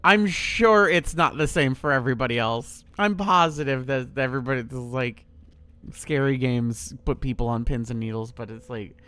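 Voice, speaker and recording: A man's voice: mid-range voice, normal speed, sharp tone, clear, resonant tone, no room sound. A man in his thirties streaming a horror game, chatting in a rambling, laid-back way with a lot of swearing. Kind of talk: casual gameplay commentary.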